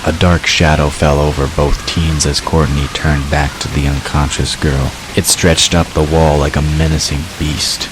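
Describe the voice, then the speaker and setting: A man's voice: extremely low pitch, level tone, measured pace, few pauses. A man narrating a dramatic fantasy story from a script. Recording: clear hiss behind the narration.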